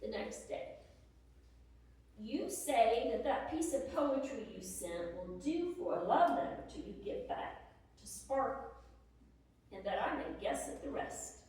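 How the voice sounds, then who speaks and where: A woman giving a plainspoken, deliberate dramatic reading of Civil War era letters. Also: a little high, animated, darkish tone. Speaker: presenter reading historical letters aloud. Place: large room at a live presentation. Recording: echoey room, distant mic, faint noise.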